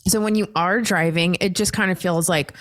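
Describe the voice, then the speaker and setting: Slightly low-voiced woman, rambling podcast chat. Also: steady tone, normal speed, dry recording, studio quality. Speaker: podcast host. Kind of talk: casual chat.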